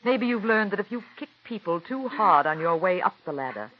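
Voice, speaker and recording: An actress in her thirties playing a calm, married playwright's wife who narrates, speaking in a poised, measured way in a 1940s radio drama. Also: medium pitch, a little hiss or hum, lightly acted. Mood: stern. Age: 30s. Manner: poised and measured, gently firm. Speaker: narrator and lead character. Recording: dull old radio broadcast with faint music.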